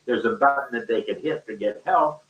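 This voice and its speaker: A man's voice: medium pitch, a little fast, slight background noise. A man speaking off the cuff in a rambling, colloquial and emphatic way, as a teacher in an online discussion. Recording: dull, echoey, distant-microphone call audio.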